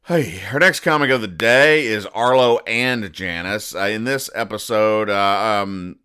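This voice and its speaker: A man hosting a podcast in a casual, rambling commentary style, explaining jokes with deadpan mock-earnestness. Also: lowish voice, a little slow, clear, resonant tone. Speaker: podcast host.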